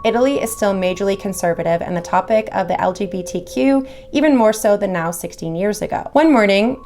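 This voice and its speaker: A woman's voice: mid-range voice, vocal fry, a little mellow, slight background noise. A woman reading horror stories aloud, with casual chatty asides between them. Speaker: horror story reader.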